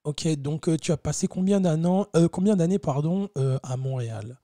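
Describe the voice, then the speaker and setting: A man's voice: fairly high pitch, moderate pace, mellow, dry recording, clean studio sound. A man hosting a casual podcast interview, chatty, often restarting his questions and saying he is a bit tired. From France. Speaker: podcast host.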